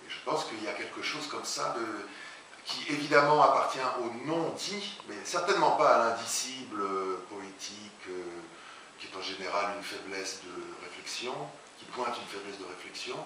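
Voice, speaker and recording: A man, a translator and writer, being interviewed before an audience, speaking haltingly with many restarts. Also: fairly low pitch. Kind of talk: public talk interview. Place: large echoey hall, before an audience. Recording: very echoey hall, far from mic, hiss.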